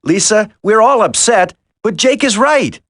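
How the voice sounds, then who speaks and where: An actor playing an earnest, determined young special-forces soldier in a dramatic video game. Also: male voice, high voice, expressive, measured pace, a bit bright, no echo, clean studio sound, full character voice. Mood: grave.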